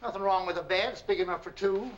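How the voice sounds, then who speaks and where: Grumpy rural american innkeeper, high pitched and folksy, muffled noisy old movie sound. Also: male voice, quick pace. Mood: grudging. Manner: grumbling, curt, folksy. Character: crotchety, stingy small-town male innkeeper, suspicious of strangers. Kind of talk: 1940s film acting.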